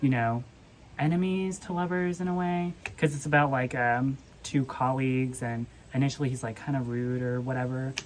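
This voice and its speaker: Very deep-pitched young woman, background noise, relaxed book-vlog chat, informal hedging phrasing. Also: moderate pace, poor audio. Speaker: book vlogger.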